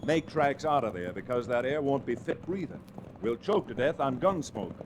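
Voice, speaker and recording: An actor playing a confident young cowboy lawyer, commanding and wry, in an old radio Western. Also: male voice, mid-range voice, animated, moderate pace, lightly acted. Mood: determined, wry. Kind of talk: 1940s radio Western acting. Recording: noisy old 1940s radio recording.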